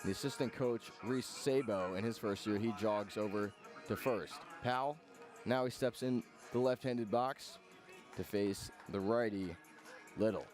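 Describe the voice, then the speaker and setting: A man's voice: lowish voice, measured pace, darkish tone, faint music behind. A man giving live radio play-by-play commentary for a baseball game as the announcer.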